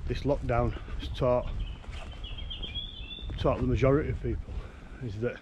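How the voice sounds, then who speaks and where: A man, chatting casually to camera as an outdoors vlogger, unhurried and halting, often repeating words. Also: medium pitch, steady tone, highs cut off. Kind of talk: casual rambling chat to camera. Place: outdoors in woodland. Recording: outdoor woodland ambience, clear background noise. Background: natural outdoor ambient noise.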